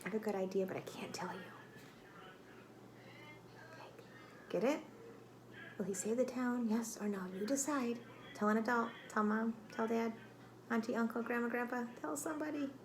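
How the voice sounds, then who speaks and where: A woman reading a picture book aloud to children like a teacher, expressive and dramatic, with exclamations and prompting questions. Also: fairly high pitch, moderate pace, pauses often. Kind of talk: read-aloud storytelling with questions to young listeners.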